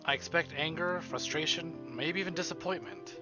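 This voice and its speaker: A man's voice: a little high, normal speed, dull audio. A man streaming a visual novel, reading the story aloud as dramatic narration. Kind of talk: dramatic read-aloud narration with casual asides. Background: soft game music.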